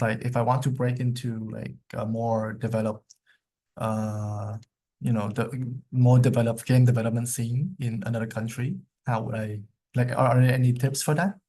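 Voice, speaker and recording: A young man asks his question slowly and haltingly, with plenty of "uh" and "um". His voice is dark and mellow, with a gravelly fry at the bottom of it. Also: mid-range voice. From Vietnam. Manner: hesitant, many "uh" and "um". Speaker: audience member asking a question.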